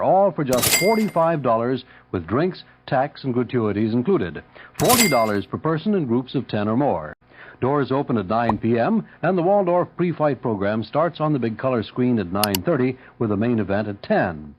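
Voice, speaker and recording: A man's voice: medium pitch, a little hiss or hum. A man reading a vintage radio commercial in an emphatic, salesman-like announcer style. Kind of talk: 1970s radio advertisement read. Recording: dull lo-fi old radio broadcast.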